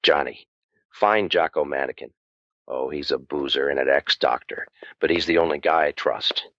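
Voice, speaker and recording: A man plays a battered, cynical gumshoe in a noir radio play. His voice is extremely deep, with dry wisecracks and a world-weary edge. The sound is close, dry and a little dull. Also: normal speed, a bit bright, no room sound. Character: sardonic, beaten-up male waterfront private eye, world-weary. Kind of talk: hard-boiled radio noir acting.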